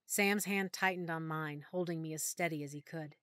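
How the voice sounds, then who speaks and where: A woman narrating a first-person audiobook in a soft, emotional storytelling manner. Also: low-pitched, fairly even intonation, normal speed, no room sound, studio quality.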